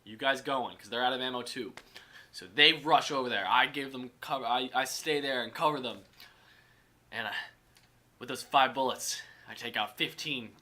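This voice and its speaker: A young man co-hosting a casual podcast with friends, telling a long animated story and laughing often. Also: mid-range voice, normal speed. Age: young adult. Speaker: podcast co-host. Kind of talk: casual chat among friends.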